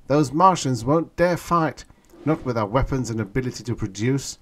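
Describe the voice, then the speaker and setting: A man's voice: medium pitch, expressive, normal speed, pitched above the performer's own voice, close to an everyday voice. A man voicing a cocky young man in an audiobook story, talking in a brash, dismissive way in a raised voice.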